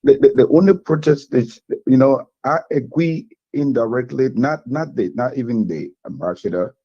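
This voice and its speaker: A man's voice: mid-range voice, moderate pace, slightly rough, no echo, lo-fi sound. A man calling in to a radio talk show, talking in an insistent way and repeating words as he pushes his point. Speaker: caller. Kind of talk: call-in radio commentary.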